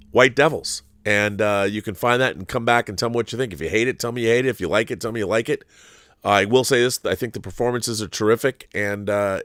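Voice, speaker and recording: A middle-aged American man hosts a casual livestream in a low voice. He talks in a rambling, conversational way, doubling back and restarting his thoughts. The sound is very dry, with no room echo. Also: fairly bright tone. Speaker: host of a live YouTube show. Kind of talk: casual livestream monologue answering viewer comments.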